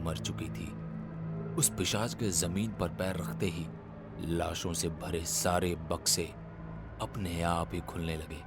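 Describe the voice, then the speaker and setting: A man telling a horror story as a scripted, dramatic narration, in a suspenseful and deliberate manner. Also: low-pitched.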